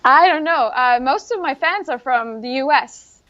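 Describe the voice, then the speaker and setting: Icelandic woman, young adult, native Icelandic speaker, soft-spoken and modest, a bit high, dull lo-fi recording. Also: expressive, normal speed. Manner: soft-spoken, modest, giggles between answers. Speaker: bikini bodybuilding competitor. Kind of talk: casual interview answers. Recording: dull lo-fi sound.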